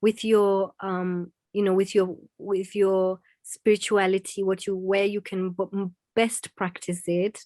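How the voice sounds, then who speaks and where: A woman's voice: medium pitch, little pitch movement, measured pace, hardly any pauses, dark tone, no echo. A woman hosting a casual conversation, asking questions and rephrasing them as she goes. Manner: interviewing, restarts and rephrases questions. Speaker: host. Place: in England.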